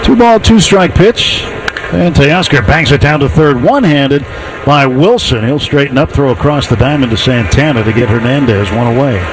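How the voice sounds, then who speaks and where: A man with an American accent doing live radio play-by-play of a baseball game in measured, descriptive calls. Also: medium pitch, animated, noisy background. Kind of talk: live radio sports commentary.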